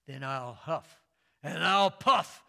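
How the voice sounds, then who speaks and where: A man voicing the big bad wolf in a storybook reading, making loud, drawn-out demands and threats. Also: a little high, creaky, heavily acted. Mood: blustering.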